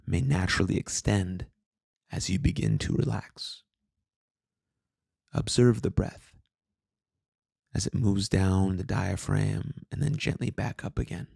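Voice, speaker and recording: A man with a low-pitched voice narrates a guided meditation in a soothing manner. His delivery is very slow, with long pauses between phrases. The sound is close and dry, keeping the calm instruction intimate and unhurried. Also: creaky, breathy edge, dry recording. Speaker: meditation guide, podcast host.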